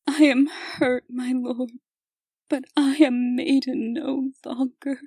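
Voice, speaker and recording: A woman playing a soft, pleading young medieval maiden who speaks in a demure, formal, archaic way in a comic dub. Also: high-pitched, level tone, slow, breathy edge, no echo, studio quality, exaggerated voice. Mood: pleading, pained.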